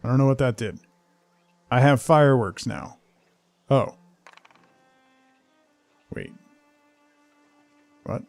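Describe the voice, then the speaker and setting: Male game streamer, casual and playful, unhurried delivery with gravelly fry and faint music behind. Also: mid-range voice, animated, a little mellow, dry recording. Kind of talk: casual live game commentary, chatting to viewers.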